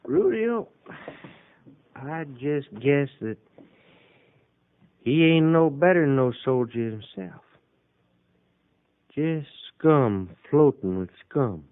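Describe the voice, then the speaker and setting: A man's voice: a little high, expressive, slow pace, long pauses. A man playing a surly, spiteful ruffian in a 1950s radio western, with a contemptuous rustic drawl. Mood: sneering. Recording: narrow, muffled 1950s radio broadcast.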